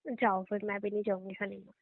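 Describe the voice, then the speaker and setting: A woman's voice: mid-range voice, level tone, fast talker, dry recording, close to an everyday voice. A woman playing a tired, reluctant young wife in an intimate staged conversation, hesitant and easily annoyed, over thin phone-like audio.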